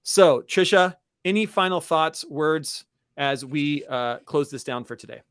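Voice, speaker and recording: A man hosts an online education session in a fairly high voice. He speaks conversationally and with enthusiasm, leaning on his points and making them personal. The sound is close and dry. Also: a little slow, fairly bright tone, smooth, dry recording. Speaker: podcast and webinar host. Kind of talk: conversational educational webinar talk.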